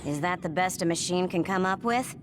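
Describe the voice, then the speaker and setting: An actress playing a scornful, sharp-tongued woman teammate in a video game cutscene. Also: on the low side, a little fast, noisy background, natural voice.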